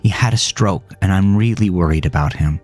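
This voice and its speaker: A man's voice: sits low, brisk, a little mellow, faint music behind. A man playing an anguished grown son in an audio drama, pleading tearfully with a stammering, desperate manner. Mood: anxious pleading.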